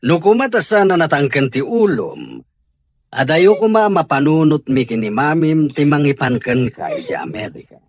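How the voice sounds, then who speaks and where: A man as a husky, stern Filipino father. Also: fairly high pitch, wildly swooping pitch, moderate pace, no echo, exaggerated voice. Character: stern father firm with his teenage daughter. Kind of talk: Ilocano audio drama acting.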